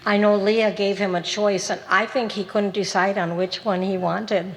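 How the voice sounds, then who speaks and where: A woman's voice: medium pitch, steady tone, moderate pace, in a large hall, low-quality recording. A woman emceeing a community stage show, introducing young performers. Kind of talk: stage announcements and singing.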